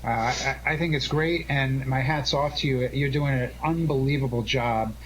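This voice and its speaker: A man in his forties or older chatting casually and earnestly as a live radio host, halting and full of thanks, over an internet call. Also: mid-range voice, fairly bright tone, poor audio. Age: 40s or older. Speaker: show host and director. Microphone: Skype-type connection. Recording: internet call with background noise.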